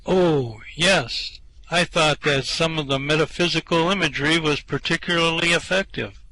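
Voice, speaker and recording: Bright, hesitant, nervous Earthman played by a man. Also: fairly high pitch, moderate pace, poor audio. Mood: nervous, ingratiating. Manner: hesitant, stammering, earnest. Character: nervous, floundering Earthman flattering his captor. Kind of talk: amateur radio play acting.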